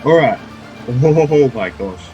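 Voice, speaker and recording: A man's voice: medium pitch, lively intonation, slow, dark tone, lo-fi sound. A man giving casual, off-the-cuff gameplay commentary as he plays. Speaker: gamer, let's player. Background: game music.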